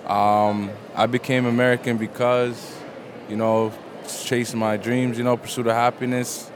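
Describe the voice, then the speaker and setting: A man from Somalia, a new citizen, talking in a relaxed way with frequent fillers. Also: medium pitch, normal speed, noisy background.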